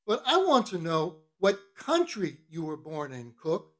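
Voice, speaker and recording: Narrator doing a bossy whaling officer, slow and teasing, pitch jumps all over, audiobook style. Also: huge pitch swings. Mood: mocking, interrogating. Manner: commanding, teasing, mock-solemn questioning. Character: bossy, mocking whaling-ship officer, a hearty man at supper. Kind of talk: literary audiobook character reading.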